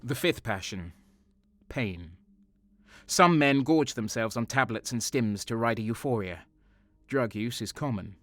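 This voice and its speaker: A man's voice: medium pitch, lively intonation, unhurried, fairly bright tone. An actor playing a cynical, cold and sadistic soldier who narrates his crimes in a brooding, sardonic way in an audio drama. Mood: brooding, bitter.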